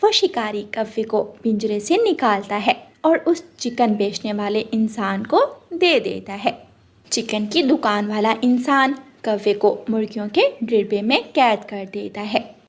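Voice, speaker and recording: A woman's voice: mid-range voice, lively intonation, a little slow. A woman narrating a scripted children's cartoon story.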